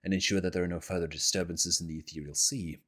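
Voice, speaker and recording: A man voicing a solemn, learned fantasy game scholar in a formal, measured, grave manner. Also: low-pitched, steady tone, fairly bright tone. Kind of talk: reading fantasy game dialogue aloud. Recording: game music behind the voice.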